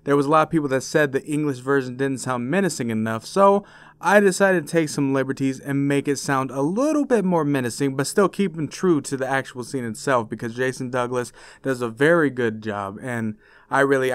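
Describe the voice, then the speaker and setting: Smooth, dry young man chatting to viewers. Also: mid-range voice, lively intonation, fairly quick, no room sound. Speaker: YouTuber and amateur voice impressionist. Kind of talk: casual outro chat to viewers.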